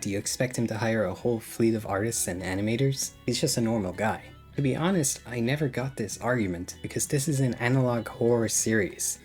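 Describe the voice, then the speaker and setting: A man's voice: mid-range voice, darkish tone. Sarcastic man narrating a video essay. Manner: sarcastic, critical commentary. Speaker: YouTube commentator.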